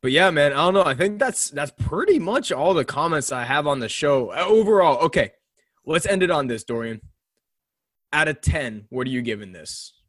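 Animated young man, dry close sound. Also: a little high, normal speed, no echo. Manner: animated, laughs often, does mock voices in retelling. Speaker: podcast co-host. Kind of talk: casual chat reviewing a TV episode.

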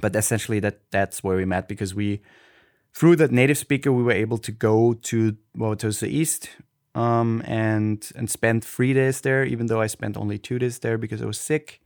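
A man's voice: lowish voice, steady tone, moderate pace, a little mellow, no echo, studio quality. A man from Austria with an Austrian German accent, co-hosting a casual podcast chat and often restarting as he searches for words. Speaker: podcast co-host.

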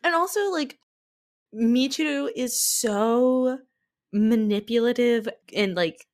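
A woman's voice: on the high side, takes it slowly. A woman guesting on a podcast, giving wry, emphatic commentary in short punchy remarks during a casual chat.

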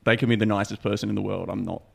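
A man's voice: on the low side, fairly even intonation, racing, vocal fry, slightly rough. A man hosting a podcast in casual banter, talking bluntly and swearing freely. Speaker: podcast host.

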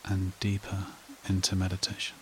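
Man, meditation guide, lowish pitch, flat steady delivery, soothing, long pauses, soft rain behind. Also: slight background noise, low-quality recording. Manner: slow, hushed, soothing, repetitive phrasing with long pauses.

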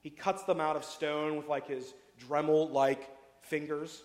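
A pastor preaching a casual sermon, chatty and jokey, full of asides and questions to the congregation. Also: male voice, on the high side, flat delivery, measured pace. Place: church sanctuary. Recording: echoey hall with long reverb.

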